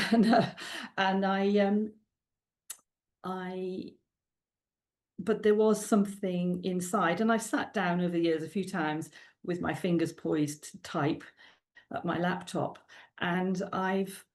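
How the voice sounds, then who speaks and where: A middle-aged woman from South Wales with a Welsh accent, a native Welsh speaker, chatting as a guest in an interview, with frequent ums and laughs. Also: on the low side. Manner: warm, chatty, laughs often, many ums. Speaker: guest author. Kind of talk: casual interview chat.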